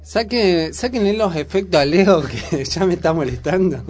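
A man's voice: high voice, normal speed, darkish tone. A man with a Buenos Aires accent chatting casually about current affairs as a radio host, informal and full of questions. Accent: Buenos Aires Argentine.

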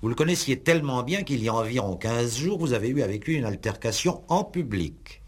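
An actor playing a stern, impatient examining magistrate in a 1960s radio play, curt and condescending. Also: male voice, mid-range voice, lively intonation, brisk, breathy edge. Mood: probing. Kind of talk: 1960s radio drama acting.

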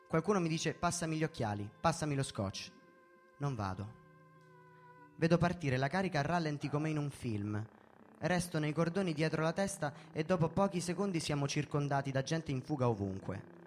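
A man reading a first-person story in a measured, theatrical way, as a dramatic narrative performance. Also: a little high. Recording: music behind the voice. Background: background music.

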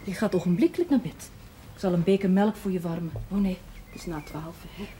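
A woman acting a bossy but caring member of the household in a television period drama, scolding in a brisk, motherly way. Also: fairly low pitch, dark tone, slight background noise, close to an everyday voice.

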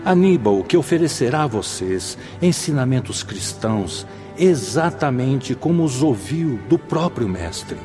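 A Brazilian man acting a solemn, fatherly spirit mentor who addresses an assembly in measured, preacherly radio-drama oratory. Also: mid-range voice, very animated. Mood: reverent. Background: music.

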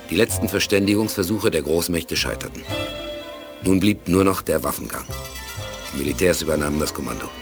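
A male narrator for a 1980s German radio play, with a deep, low voice. He reads scripted drama solemnly and deliberately, with music faintly behind him.

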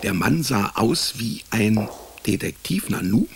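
An actor playing a wry, down-on-his-luck private detective who narrates a noir radio drama in a laconic, deadpan way. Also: fairly low pitch, unhurried, creaky, slight background noise. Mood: dry, brooding. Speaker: narrator and lead character.

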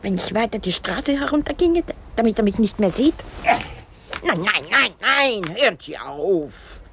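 A woman's voice: mid-range voice, very animated, measured pace, background noise. An actress playing an old, self-pitying, hysterical married woman in a radio drama, rambling theatrically with sighs and exclamations. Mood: agitated. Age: older.

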